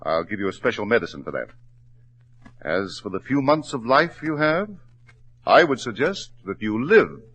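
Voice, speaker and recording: An actor playing a grave, outwardly sympathetic doctor in 1940s radio drama style, speaking in a measured, solemn, persuasive way. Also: male voice, mid-range voice, very animated, fairly bright tone, husky.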